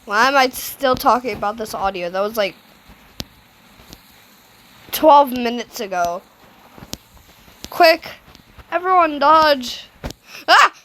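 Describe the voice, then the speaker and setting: A woman's voice: on the high side, lively intonation, takes it slowly, pauses often, fairly bright tone, slight background noise, poor audio. A girl narrating an improvised toy story, chatty and rambling, sick today. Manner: rambling, self-correcting, chatty. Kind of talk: casual improvised play-acting.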